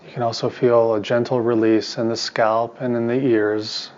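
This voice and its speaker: A man guides a meditation in a soft, mid-pitched voice with very little melodic movement. He gives calm, soothing instructions in short phrases with space between them. The recording is somewhat muffled and lo-fi. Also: normal speed. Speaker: meditation teacher and therapist.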